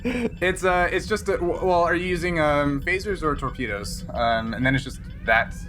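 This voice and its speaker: Hesitant man with lively pitch, running a game. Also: background noise. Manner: halting, thinking aloud. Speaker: game master. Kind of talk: improvised narration of a tabletop game.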